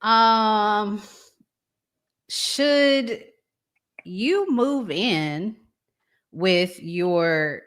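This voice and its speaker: A woman hosting a casual discussion, introducing topics and putting questions with pauses between her thoughts. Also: mid-range voice, a bit bright, smooth. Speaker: talk show host.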